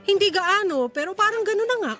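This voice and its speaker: Casting: huffy, picky Filipino working single mum for radio drama; high-pitched, swooping delivery. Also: female voice, expressive, fairly quick, bright, forceful tone, exaggerated voice. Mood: dismissive. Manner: exasperated, blunt, huffy retorts. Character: irritated, picky young single mother, a restaurant worker.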